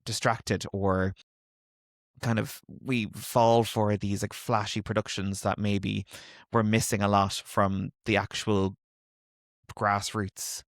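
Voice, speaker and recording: A male podcast host with a slightly low voice asks his questions haltingly, circling back and rephrasing as he thinks. The recording is dry and close. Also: little pitch movement, no room sound. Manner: searching, hesitant questions with many restarts. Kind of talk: conversational interview.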